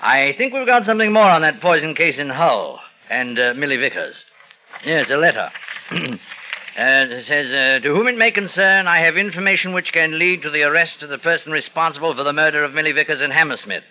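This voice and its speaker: Brisk, sharp-toned inspector, vintage radio. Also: male voice, a little high. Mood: businesslike. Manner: clipped, official, reading a letter aloud on the phone. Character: brisk male Scotland Yard inspector. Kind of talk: 1940s radio acting. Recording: dull old radio recording with music.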